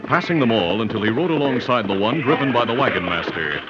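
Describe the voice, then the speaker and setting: A man narrating an old western radio drama in a measured, dramatic storytelling manner. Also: mid-range voice, expressive, close to an everyday voice. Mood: dramatic, steady. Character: grave male narrator of a western adventure serial. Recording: dull vintage radio recording with music.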